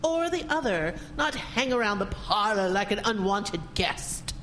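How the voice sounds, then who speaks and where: A woman's voice: medium pitch, expressive, measured pace, fairly bright tone, slight background noise, rough recording. A woman playing a prim, pious character in an audio drama, stern, flustered and easily indignant, denying her fear. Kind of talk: scripted audio drama acting.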